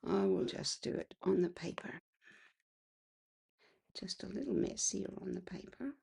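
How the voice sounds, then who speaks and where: Casting: unhurried crafter woman, gravelly and husky, croaky today. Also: lowish voice, huge pitch swings, darkish tone. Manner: chatty, clears throat often. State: slightly croaky voice. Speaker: card-making crafter. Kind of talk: casual craft tutorial.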